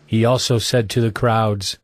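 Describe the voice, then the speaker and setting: A man's voice: lowish voice, flat delivery, unhurried, music in the background. A man narrating a dramatized scripture recording as a scripted reader.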